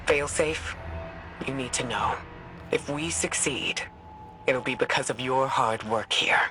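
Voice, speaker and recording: A man's voice: medium pitch, unhurried, lightly acted. An actor voicing a small, earnest male robot companion who talks in a thoughtful, matter-of-fact way. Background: game music score.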